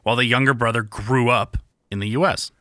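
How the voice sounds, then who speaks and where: Bright, dry-sounding male podcast host. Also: mid-range voice, steady tone, fairly quick, dry recording. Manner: frank, matter-of-fact asides. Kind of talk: casual chat.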